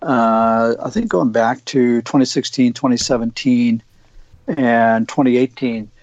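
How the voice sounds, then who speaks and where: A man's voice: medium pitch, unhurried, a little mellow, dry recording, dull audio. A man from Oregon co-hosting a casual discussion, rambling with many fillers and restarts.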